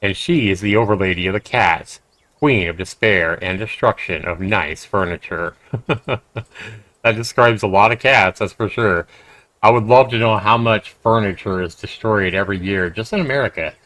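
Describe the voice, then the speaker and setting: A man's voice: fairly low pitch, moderate pace, a bit bright, dry recording. A man livestreaming a game, commenting conversationally and emphatically and reading text aloud. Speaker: game streamer.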